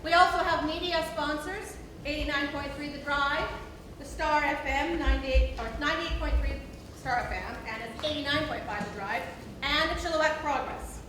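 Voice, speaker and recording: A high-voiced woman speaks as a theatre director, welcoming an audience informally. Her voice echoes heavily through the room and is picked up from a distance, with clear noise behind it. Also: normal speed. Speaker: theatre director introducing a performance. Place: indoor theatre, distant microphone. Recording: echoey theatre from far off, noisy.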